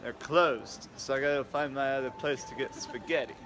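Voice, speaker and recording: A man's voice: medium pitch, moderate pace, fairly bright tone, soft background music. A man vlogging casually on a handheld camera, joking and laughing often as he talks. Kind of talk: casual vlog chat. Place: outdoors and in a busy burger restaurant. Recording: noisy crowd around.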